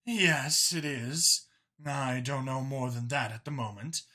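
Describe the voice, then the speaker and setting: An easygoing female alien officer, acted by a male narrator, talks in a mild, deferential way. The delivery is unhurried and mid-pitched, as storybook character dialogue. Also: bright, forceful tone. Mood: uncertain.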